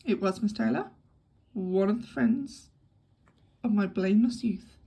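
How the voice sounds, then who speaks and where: Woman doing a young man tour guide, wry, dry, easygoing, husky voice. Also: mid-range voice, measured pace, dark tone, exaggerated voice. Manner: dry, wry, lightly ironic.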